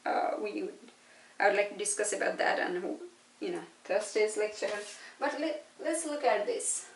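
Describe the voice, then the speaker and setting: A woman's voice: mid-range voice, expressive, moderate pace, creaky. A woman from Nepal with a Nepali accent giving an informal physics lecture, explaining through questions and frequent little checks for understanding. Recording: echoey room, distant mic.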